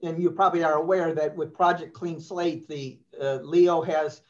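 Older man, panel moderator on a video call, fairly high voice, polite, slightly muffled line. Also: steady tone, normal speed. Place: office.

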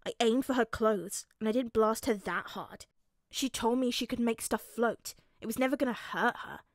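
A woman's voice: on the high side, normal speed, no echo, clean studio sound, natural voice. A woman voicing a proud, grumpy four-year-old boy in a story, blunt and pouting as the boy makes demands. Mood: defensive, sulky.

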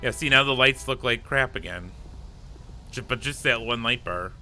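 A man with a strikingly bright, forward voice chats casually while streaming a roleplay game. He sounds amused and jokey, with faint game ambience and vehicle noise behind him. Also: medium pitch, normal speed. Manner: joking, amused. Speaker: streamer playing a police officer in an online roleplay game.

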